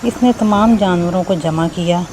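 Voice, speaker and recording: A woman with a slightly low voice narrates a scripted story for children. She is the storyteller, and there is clear background noise with insect ambience behind her. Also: moderate pace.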